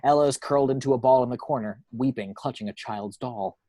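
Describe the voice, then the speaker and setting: A man's voice: medium pitch, brisk, warm tone, dry recording. A man reading scripted action descriptions from a screenplay as narrator. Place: at home.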